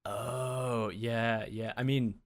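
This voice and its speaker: A man's voice: mid-range voice, steady tone, drawn-out words. A man co-hosting a gaming podcast, chatting casually and breaking into laughter often. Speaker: podcast co-host.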